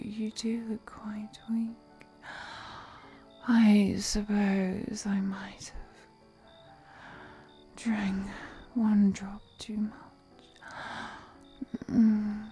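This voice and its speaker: An ancient vampire seductress, voiced by a woman, whispers slowly in a breathy, mellow voice. She is flirty and possessive, teasing and purring endearments as in an intimate roleplay audio drama, with music behind her. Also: mid-range voice, steady tone. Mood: doting, teasing.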